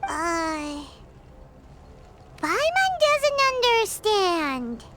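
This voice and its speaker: A woman voicing a tiny, bubbly, naive fairy companion with a squeaky childlike voice in a video game. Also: sing-song, drawn-out words, heavily acted. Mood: puzzled. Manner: refers to self in third person. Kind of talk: animated video game voice acting. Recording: loud music behind the voice. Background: game music.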